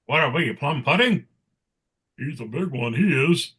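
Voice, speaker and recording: A man's voice: a little high, lively intonation, normal speed, fairly bright tone, breathy edge, exaggerated voice. A man voicing a cheeky, grumbling little elf in a comic book reading. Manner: cheeky, complaining.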